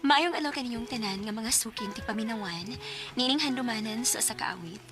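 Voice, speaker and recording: A woman playing a gentle letter sender introducing her life story in a radio drama. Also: fairly high pitch, fairly quick, lightly acted. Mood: polite, gentle. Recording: music clearly behind the voice.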